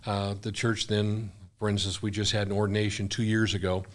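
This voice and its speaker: A man's voice: fairly low pitch, flat delivery, a little fast. An older man, a missionary guest, talking earnestly in casual conversation, often restarting his sentences.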